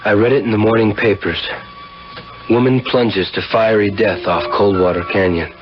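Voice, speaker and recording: Actor as a low-voiced, hard-boiled slangy hustler. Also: male voice, fairly even intonation, normal speed, close to an everyday voice. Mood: brooding. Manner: wry, slangy, hip tough-guy talk. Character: slangy, hard-boiled car dealer, cocky womanizing narrator. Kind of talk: 1970s radio drama acting and first-person narration. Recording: dull old radio broadcast, music behind.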